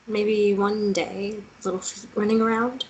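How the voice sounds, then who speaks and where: A woman's voice: medium pitch, level tone, a little slow, a little mellow, close to an everyday voice. Actress as tender young woman, noisy recording. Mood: wistful. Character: Lily, tender young woman in a fantasy romance. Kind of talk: script table read, naturalistic romantic acting. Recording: noisy recording with room ambience.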